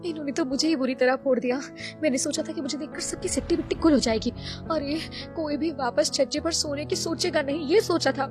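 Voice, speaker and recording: A woman's voice: sits high, fairly quick, over music, voice pushed up, natural voice. A woman voicing an exasperated, scheming young daughter-in-law in a Hindi cartoon story, with dramatic complaining delivery. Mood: indignant.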